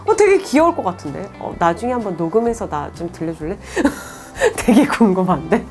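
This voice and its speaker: A woman's voice: mid-range voice, very animated, fairly quick, darkish tone. A woman Korean-language teacher, around her thirties, giving friendly advice to students and reading their letters aloud in a chatty, encouraging way, often laughing. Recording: faint background music.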